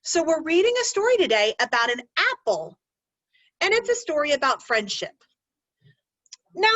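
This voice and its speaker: A woman teacher reading a picture book aloud to children, pausing to explain words and ask gentle questions. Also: high voice, no room sound.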